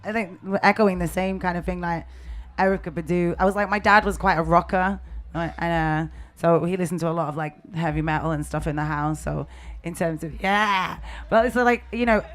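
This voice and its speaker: A woman's voice: on the low side, brisk. A woman speaking as a music artist on a festival panel, in a rambling, casual way full of fillers.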